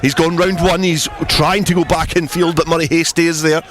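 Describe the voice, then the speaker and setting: A man's voice: a little high, flat delivery, fairly quick, a bit bright. A man giving flowing, descriptive live radio commentary on a rugby match. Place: outdoors at a rugby ground. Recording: outdoor match with crowd noise. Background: crowd at the match.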